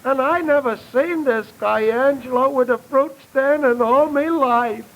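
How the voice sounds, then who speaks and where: Squeaky, slow, creaky dim gangster. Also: male voice, highs cut off. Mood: earnest, dim. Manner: halting, ungrammatical tough-guy talk. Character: dim, mawkish racketeer playing tender-hearted innocent. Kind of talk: 1930s radio comedy acting. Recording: thin, band-limited old radio recording.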